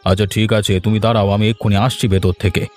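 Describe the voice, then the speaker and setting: A man voicing an older village headman in a cartoon, speaking in a calm, steady way over soft music. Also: on the low side, rapid-fire. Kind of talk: cartoon dialogue.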